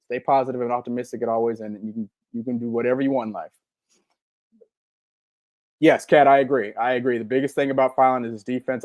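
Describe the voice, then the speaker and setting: Guy in his 40s rambling thru chat shoutouts, lots of ums, dry close sound. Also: medium pitch, no room sound. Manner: rambling and upbeat, full of ums and repeats. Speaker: podcast co-host. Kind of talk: casual live-stream chat reading chat comments.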